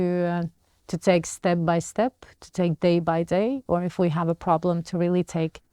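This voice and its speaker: Low, even-toned Latvian woman. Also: no room sound. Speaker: interview guest, business leader. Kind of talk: reflective interview talk. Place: furnished indoor lounge studio.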